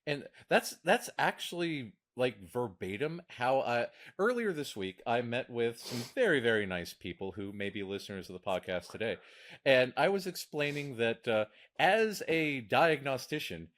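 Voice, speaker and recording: A man, talking as a podcast co-host and diagnostician. He stammers through restarts and laughs easily, his voice sitting at a medium pitch for a man. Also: animated, moderate pace, a bit bright. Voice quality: deep voice. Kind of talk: casual podcast chat.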